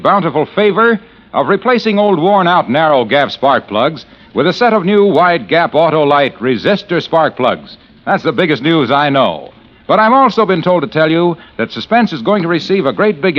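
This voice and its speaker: An American man works as a vintage radio announcer, emphatic and salesmanlike. His fairly high voice swings widely in pitch, and the old broadcast sounds dull. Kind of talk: 1940s radio commercial announcing.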